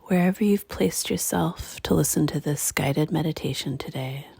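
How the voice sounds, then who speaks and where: A woman leading a guided meditation in a hushed, breathy, gentle and unhurried way, leaving long pauses between phrases. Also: deep voice, no room sound. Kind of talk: guided meditation, slow and soothing.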